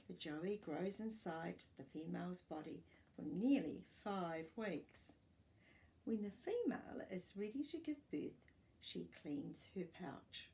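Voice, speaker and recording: An older woman reading a children's non-fiction book aloud as a storytime host, with expressive, deliberate delivery for young children. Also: medium pitch, creaky. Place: small furnished living room, indoors.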